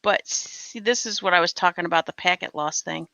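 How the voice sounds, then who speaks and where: A woman's voice: lowish voice, brisk, creaky, pushed and bright, no room sound, rough recording. An American woman chatting casually and teasingly with friends. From United States. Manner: teasing, talkative. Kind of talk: casual chat.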